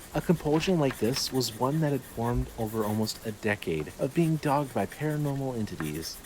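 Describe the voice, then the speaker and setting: A man reading scripted dramatic fiction as narrator, in a measured, descriptive storytelling manner. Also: on the low side, slight background noise, rough recording.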